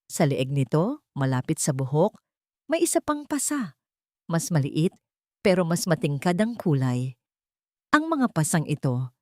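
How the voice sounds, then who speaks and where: A woman's voice: mid-range voice, dark tone, no room sound, clean studio sound. A woman reading scripted, dramatic story narration.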